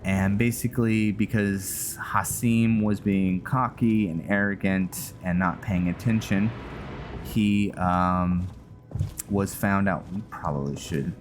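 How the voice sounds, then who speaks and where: A man hosting a roleplay game series with casual, halting commentary as he explains lore. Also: deep voice, steady tone, music in the background. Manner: halting, thinking aloud.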